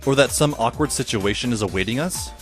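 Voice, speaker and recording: A man playing a wry high school boy who narrates an audio drama in the first person, musing as he goes. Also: animated, fast talker, faint music behind, lightly acted.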